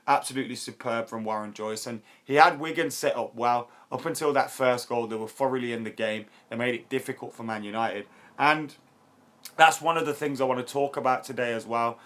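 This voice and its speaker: Hoarse, chatty football streamer. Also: male voice, mid-range voice. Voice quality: chatty, excitable, laughs often. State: hoarse, voice worn from shouting. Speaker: YouTube football channel host. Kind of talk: live-stream chat and match review.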